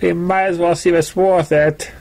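A man's voice: high voice, little pitch movement, moderate pace, darkish tone, pitched above the performer's own voice. A man voicing an exasperated older female pony looking after a filly, frantic and scolding, in a storybook reading. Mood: resigned.

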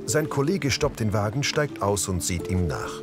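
A man's voice: on the low side, lively intonation, moderate pace, music in the background. A man reading scripted audio description that narrates on-screen action.

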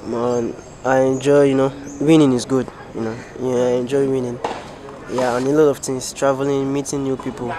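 A man's voice: mid-range voice, little pitch movement, moderate pace, crowd noise. A young man from Nigeria, a junior tennis player, giving brief, laid-back answers.

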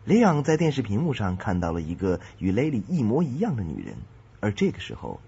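A man with a husky voice reading a dramatic scripted preview as an announcer. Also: on the low side, wildly swooping pitch, fairly quick, mellow. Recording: dull, muffled audio.

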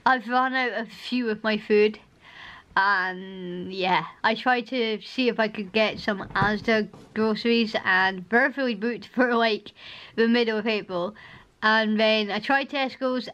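A young Scottish woman with a strained, hoarse voice, chatting in a rambling home vlog and laughing often, filmed at arm's length in her living room. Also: mid-range voice, a little slow, fairly bright tone, muffled recording. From Scotland. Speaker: vlogger. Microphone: camera at arm's length.

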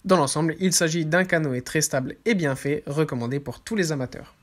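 A man narrates a product top-three as its host, his voice sitting a little high for a man. He reads steadily from a script, stumbling now and then on a filler before carrying on. It is a voice-over for a review video. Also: brisk. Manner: steady reading with occasional hesitations. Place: voice-over, not the pictured scenes.

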